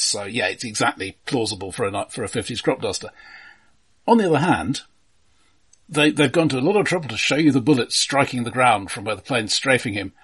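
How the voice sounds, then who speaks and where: A man's voice: mid-range voice, a bit bright, slightly rough. A man co-hosting a film podcast, casually explaining things in an analytical way.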